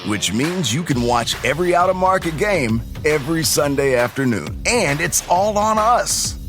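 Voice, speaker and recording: A man's voice: fairly high pitch, lively intonation, moderate pace. A man reading a scripted commercial as a voice-over announcer. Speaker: advert voice-over. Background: music.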